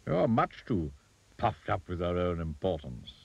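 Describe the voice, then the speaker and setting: A man's voice: low voice, sing-song, measured pace, creaky, husky, no echo, muffled recording, full character voice. A man voicing a stern goblin king in a children's storybook, with a regal, admonishing manner. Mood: stern, reproving.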